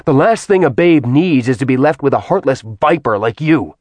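A man's voice: on the low side, normal speed, no echo, close to an everyday voice. A man playing a bitter, vengeful immortal man who snarls venomously with a raised, seething voice.

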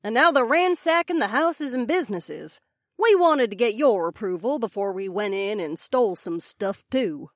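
A man's voice: high voice, expressive, normal speed, fairly bright tone, no echo, clean studio sound, pitched above the performer's own voice. A man voicing a brash cowgirl desperado woman with a folksy drawl. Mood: breezy.

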